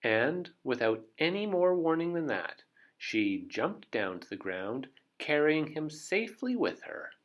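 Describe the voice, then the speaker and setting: Slow, animated male storybook reader. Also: a little high. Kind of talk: animated read-aloud narration for children.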